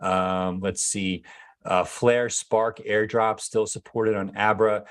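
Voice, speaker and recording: A man, a company executive, answering viewer questions in a casual live Q&A, hesitant with many fillers and restarts, his voice going hoarse. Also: fairly low pitch, little pitch movement, slow. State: losing his voice.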